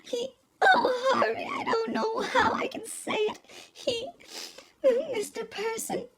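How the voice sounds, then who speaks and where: Young noir temptress, very high voice, theatrical, tearful pleading, murmuring crowd behind. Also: female voice, big pitch swings, slow pace, heavily acted. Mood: anguished, tearful. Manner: breathy, theatrical, tearful, swooping. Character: melodramatic young femme fatale, sheltered rich girl turned scheming, pleading lover. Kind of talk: noir radio drama acting.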